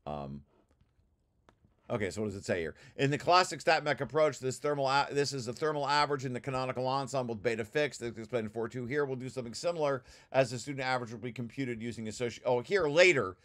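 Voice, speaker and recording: A man's voice: mid-range voice, a bit bright. A man giving an informal technical walkthrough, explaining as he reads and often stopping to correct himself. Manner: halting, self-correcting, explanatory. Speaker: presenter reading through own technical paper.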